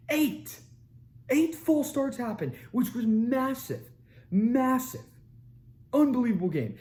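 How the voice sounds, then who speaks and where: A young man hosting a sports show, ranting with energy and repeating his points for emphasis, his voice a little raspy from screaming. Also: sits high, warm tone. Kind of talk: fired-up sports commentary to camera.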